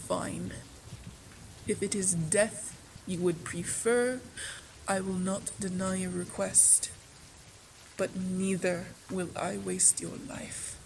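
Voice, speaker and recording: A woman playing a proud vampire baroness in a theatrical ASMR roleplay, speaking in an imperious, deliberate manner with dramatic pauses. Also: fairly low pitch, steady tone, gravelly, darkish tone, slight background noise. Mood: cold, stern. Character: proud vampire baroness, menacing yet secretly tender.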